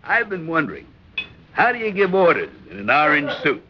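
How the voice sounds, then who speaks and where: An actor in an old radio drama playing a sardonic, mutinous merchant sailor with a wry, needling manner. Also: male voice, on the high side, huge pitch swings, a little fast. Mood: mocking, amused. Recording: noisy muffled old radio recording.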